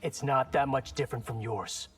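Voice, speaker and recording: A man's voice: medium pitch, moderate pace, faint music behind, lightly acted. A man voicing a cocky, wry young fighter who trades casual quips in video game dialogue. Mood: casual, dry.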